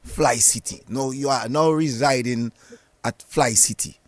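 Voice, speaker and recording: A man's voice: mid-range voice, unhurried. A man hosting a podcast, interviewing a guest with probing questions and repeated words as he cuts in. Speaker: podcast host.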